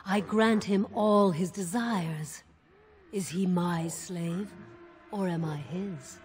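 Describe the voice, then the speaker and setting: A woman's voice: on the low side, takes it slowly, a little mellow, slightly rough, exaggerated voice. A woman playing a possessive, seductive desire demon in a fantasy video game, silky and coldly defiant. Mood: cool, seductive.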